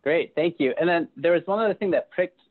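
A man's voice: on the high side, fast. A man in his thirties asking casual, encouraging interview questions through an earbud headset, with muffled phone-like call audio. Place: plain room indoors. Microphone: earbud headset on a video call.

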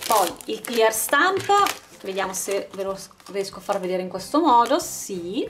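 A woman's voice: mid-range voice, sing-song, a little slow, background noise. A woman hosting a craft channel, casually narrating an unboxing and presenting items one by one in an informal way. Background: background music.

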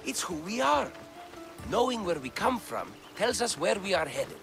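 An actor playing an eager, bookish scholar of antiquities in ancient Greece, with florid, theatrical enthusiasm in video game dialogue. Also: male voice, high voice, sing-song, normal speed, over music. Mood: earnest.

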